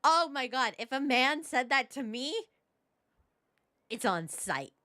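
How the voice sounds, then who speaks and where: A woman's voice: high voice, very animated, slow pace, pushed and bright, dry recording. A young woman streaming a game, giving casual, opinionated commentary and reading aloud into a close microphone. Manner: informal, opinionated.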